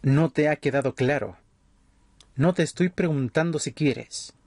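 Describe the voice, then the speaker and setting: Male actor as vampire leader, cold, authoritarian, measured, condescending, dry close sound, roleplay drama. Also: on the high side, a little mellow, no echo. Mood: threatening. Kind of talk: ASMR audio roleplay acting.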